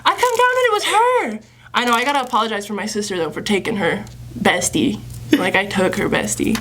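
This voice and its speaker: A woman's voice: mid-range voice, expressive, a little fast, fairly bright tone, slight background noise. A woman, co-hosting a podcast in casual chat, telling stories with asides, laughs and swearing. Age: 20s. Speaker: podcast co-host.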